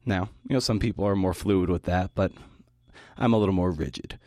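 Deep-voiced man giving a podcast talk. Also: fairly quick, a little mellow, no room sound, studio quality. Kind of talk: conversational scripted talk.

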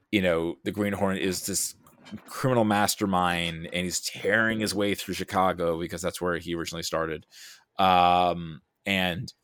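Man, low pitch, dry sound, casual film discussion, animated, halting delivery. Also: no room sound. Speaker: podcast guest discussing film.

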